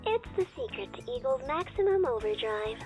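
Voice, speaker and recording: A woman's voice: high voice, very animated, normal speed, darkish tone, faint music behind. An actress playing a teasing, playful young female student in a visual novel game, with a girlish voice. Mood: playfully coy. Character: teasing young female schoolmate on a phone call.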